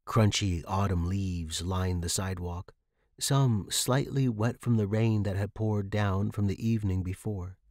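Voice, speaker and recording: A man's voice: low voice, measured pace, darkish tone, no echo, studio quality. A man reading a story aloud as a scripted, dramatic fiction narration. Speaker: audiobook narrator.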